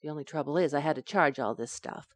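A woman's voice: low-pitched, fast talker, no room sound, clean studio sound. A woman playing a scatterbrained, coaxing young wife in an old-time radio sitcom, with a breezy, innocent comic delivery. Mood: breezy, confiding.

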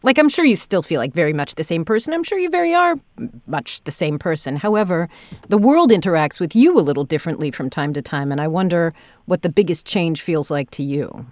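A woman's voice: low-pitched, big pitch swings, brisk, a little mellow, no echo, studio quality. A woman hosting a podcast, asking questions in a casual, attentive and encouraging conversational way. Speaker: podcast host, interviewer.

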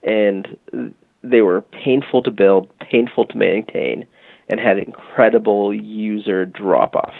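Man guest, low gravelly voice, rambling storyteller, phone-quality dry audio. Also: fairly even intonation, slow, dry recording. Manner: rambling, many fillers and "you know". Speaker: guest, tech company executive. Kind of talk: casual storytelling interview.

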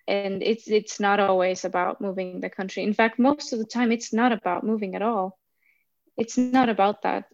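A woman coach gives thoughtful answers in a podcast interview and often repeats a word while she gathers her thoughts. Her voice sits at an ordinary pitch. The audio is dry, with a slightly dull tone. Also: gravelly, no room sound. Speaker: coach for expats.

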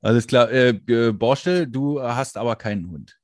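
A man's voice: mid-range voice, moderate pace, darkish tone, no room sound, clean studio sound. A man hosting a casual interview chat, joking and laughing. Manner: chatty, joking, frequent laughs and fillers. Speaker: podcast host.

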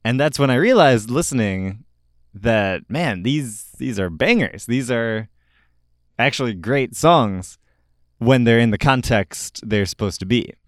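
A man's voice: medium pitch, lively intonation, unhurried, no room sound. Male host for casual album-review talk. Informal, opinionated, keen; clean resonant voice, dry recording. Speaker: host of a music review show.

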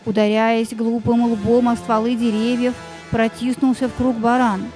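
A woman reading expressive scripted narration for a fairy-tale audio play, with music behind her. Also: on the high side, moderate pace.

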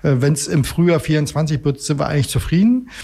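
A middle-aged man with a husky, somewhat raised voice talks casually as a studio guest. He rambles a little and hesitates, slipping in dry understatement as he explains things. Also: on the high side, expressive, fast, mellow. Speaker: radio magazine studio guest and regular contributor. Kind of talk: casual radio studio conversation. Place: radio studio.

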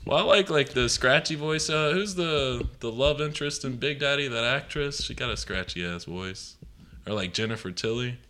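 Bright, sharp-edged male podcast co-host. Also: medium pitch, normal speed. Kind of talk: casual banter.

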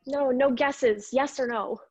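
A woman's voice: high voice, steady tone, takes it slowly, a little mellow, no echo, soft background music. A woman playing a frank, encouraging younger dream self in a radio drama, speaking directly and insistently. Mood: frank, urgent.

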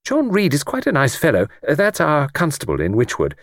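A man voicing a gentle, chatty old English lady in an audiobook, with a thin, quiet voice and a hesitant, rambling, genteel manner. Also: fairly high pitch, wildly swooping pitch, brisk, no room sound, studio quality, voice pushed up, exaggerated voice. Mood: confiding. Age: older. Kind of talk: audiobook character reading.

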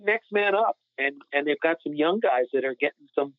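A man's voice: fairly high pitch, animated, moderate pace, no room sound. A man giving emphatic, opinionated analysis in a casual sports podcast discussion. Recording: phone-like narrow-band sound.

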